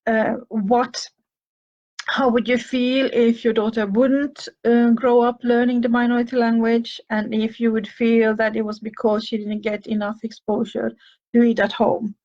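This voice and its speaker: A woman's voice: fairly high pitch, little pitch movement, a little slow, darkish tone, dull audio. An older woman from with a slight cough, hosting a show and answering listener questions in a thoughtful, hesitant way with many fillers. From Finland. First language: Finnish and Swedish. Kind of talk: advice talk answering questions.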